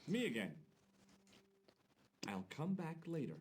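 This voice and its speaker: A man's voice: on the high side, wildly swooping pitch, takes it slowly, husky, heavily acted. An actor voicing a video game hero, a wisecracking young man posing as a doctor, with a dry, teasing delivery. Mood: cheeky.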